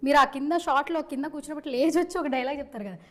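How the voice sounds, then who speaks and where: A woman interviewer. She talks fast and chattily, dropping English words into a casual promotional conversation. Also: high voice. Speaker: interviewer, host. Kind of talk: casual film promotion chat.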